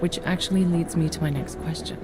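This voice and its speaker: Actress as composed low-voiced probing doctor. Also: female voice, fairly even intonation, natural voice. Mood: probing, dry. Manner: measured, dry, professional. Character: composed, probing, straight-talking female agency doctor. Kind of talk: video game cutscene acting.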